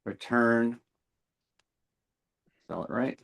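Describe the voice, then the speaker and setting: A man's voice: medium pitch, very slow, gravelly, fairly bright tone. A man chatting casually while he works on code at a computer, thinking aloud and asking questions. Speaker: meeting host typing code as he learns.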